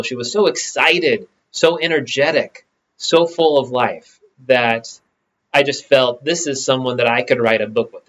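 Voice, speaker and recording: A man giving an earnest, reflective introduction to a replayed podcast episode, pausing slowly as he speaks. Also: medium pitch, fairly bright tone. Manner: earnest, reflective, slow pauses. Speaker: podcast host. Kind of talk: spoken introduction. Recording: dull lo-fi sound.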